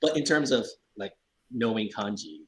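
A man from Hawaii who grew up speaking Cantonese chats as a guest translator. His voice sits low and a little husky, and he explains things in a calm, matter-of-fact way. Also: normal speed. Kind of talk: casual interview chat.

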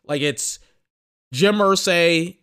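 A man's voice: high-pitched, slow pace, a bit bright, clean tone. A young man hosting a sports podcast, rambling and emphatic in casual commentary, restarting phrases and swearing, a little tired.

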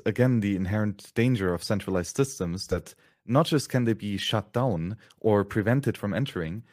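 Low-voiced male podcast host, dry sound. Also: darkish tone, no echo. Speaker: host, interviewer. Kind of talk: podcast interview.

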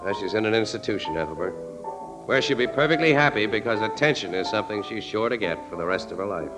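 An actor playing a wry, worldly American newspaper photographer, slangy and halting, in a 1940s radio drama. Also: male voice, fairly low pitch, animated, loud music behind the voice, natural voice, low-quality recording. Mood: explaining. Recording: vintage radio broadcast recording.

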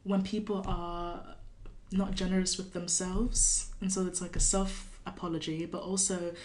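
A woman poet from California, a guest on a podcast, talking thoughtfully with many pauses and fillers and reading her poem. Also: on the low side, little pitch movement, a little mellow. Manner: thoughtful, hesitant with many fillers, laughs often. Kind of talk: podcast interview with poetry reading.

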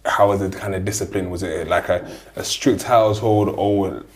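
A low-pitched man with a London accent hosts a casual interview. He asks rambling, conversational questions in a relaxed tone. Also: moderate pace. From South London. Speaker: interview host.

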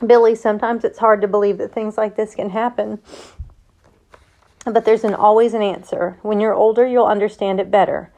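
A woman voicing a gentle farm mother in a storybook, consoling in a soothing, patient way. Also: mid-range voice, flat delivery, moderate pace, natural voice. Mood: gentle, sorrowful.

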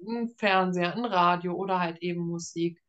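A woman's voice: on the low side, fairly even intonation, normal speed, lo-fi sound. A woman in her early thirties from Germany, chatting casually as an author being interviewed, with a self-deprecating humor. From Schwerin, Germany. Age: early 30s.

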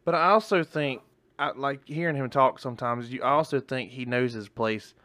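A man's voice: mid-range voice, normal speed, sharp tone, no room sound. A male podcast co-host in casual chat, rambling and swearing, often restarting his sentences, with hiccups.

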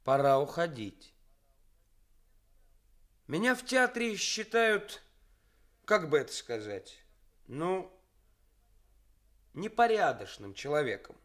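An actor playing a brazen young stage actor who poses as a cynical swindler fiancé in a Soviet radio play, pompous and deliberate with emphatic pauses. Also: male voice, a little high, big pitch swings, a bit bright, full character voice. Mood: brazen, confiding. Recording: studio radio play with music.

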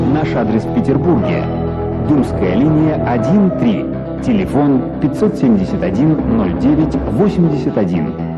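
A man announcing a scripted radio advertisement. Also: mid-range voice.

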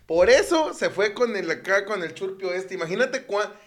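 A man's voice: high voice, moderate pace. A young Mexican man chatting casually, cracking vulgar jokes and going off on side stories. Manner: profane, joking, animated asides. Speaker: podcast co-host. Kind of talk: casual comedic chat.

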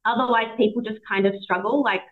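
A woman's voice: on the high side, fast talker. Australian woman over a tinny line. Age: 30s. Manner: chatty, animated, laughs a lot. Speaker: podcast host. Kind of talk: casual chat. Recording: tinny phone-like call audio.

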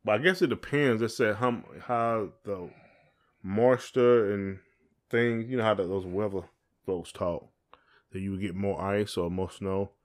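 A man's voice: fairly low pitch, a little slow. A man hosting a casual podcast chat, talking in an informal, colloquial way. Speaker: podcast host.

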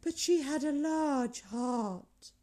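Woman as a storybook spirit, solemn, gentle, measured, deliberate, fairly high voice. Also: animated.

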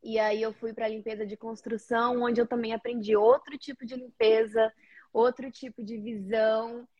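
A woman's voice: a little high, little pitch movement, moderate pace, muffled recording. A woman from Paraná, Brazil, a guest on a video-call interview, friendly and slightly nervous, with many fillers. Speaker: interview guest, house cleaner. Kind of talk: casual interview.

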